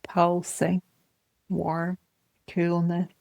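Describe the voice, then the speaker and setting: Low, creaky-voiced woman guiding meditation. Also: no echo. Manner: calm, gentle, measured, with short phrases. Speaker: meditation teacher. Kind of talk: guided body scan meditation.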